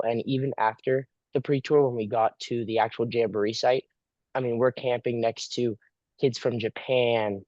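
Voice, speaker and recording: A man's voice: medium pitch, a little mellow, no room sound, lo-fi sound. A young man sharing his jamboree memories enthusiastically, using many filler phrases. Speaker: former jamboree participant. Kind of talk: personal testimonial.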